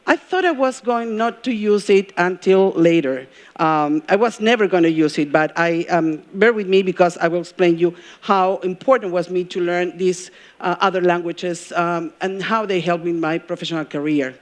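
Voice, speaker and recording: A middle-aged woman from Peru with a Peruvian Spanish accent, giving a live talk as a journalism professor. She engages the crowd with questions and pauses often with ums and uhs. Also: lowish voice. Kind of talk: live stage talk to an audience. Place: event stage before a live audience.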